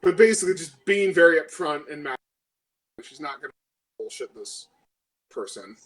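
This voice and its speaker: A man with a slightly high voice plays in a tabletop role-playing game. He narrates what his character does in casual, improvised talk, halting as he thinks it through. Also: big pitch swings, a bit bright, rough recording.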